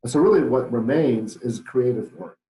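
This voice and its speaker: A man's voice: mid-range voice, moderate pace, mellow, roomy sound, low-quality recording. A middle-aged man with a Polish accent, a podcast guest who talks thoughtfully with many fillers and restarts. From Poland. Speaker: guest, business owner. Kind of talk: podcast interview.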